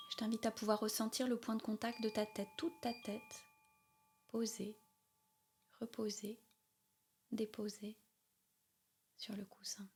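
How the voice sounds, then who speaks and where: A woman leading a guided yoga nidra meditation in a soothing, unhurried way, with soft, breathy phrase endings. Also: mid-range voice, a little hiss or hum. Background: meditation music with chimes.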